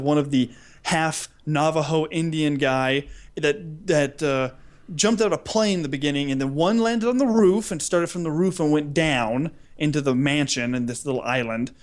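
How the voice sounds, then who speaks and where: A man with a fairly high-pitched voice co-hosts a casual podcast. He tells stories with humor, rambling along in an animated way and slipping into acted-out dialogue. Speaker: podcast co-host. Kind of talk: casual humorous chat, storytelling.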